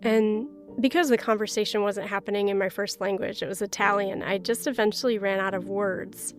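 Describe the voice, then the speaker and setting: A woman's voice: mid-range voice, steady tone, a little fast, soft background music. Reflective woman telling her story. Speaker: religious sister. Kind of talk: personal testimony narration.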